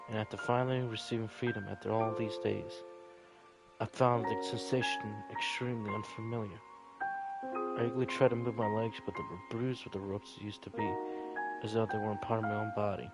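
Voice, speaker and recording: Low-voiced man reading over game music. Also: flat delivery, a little fast. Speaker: player reading a visual novel aloud. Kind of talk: dramatic read-aloud narration of a visual novel with live reactions.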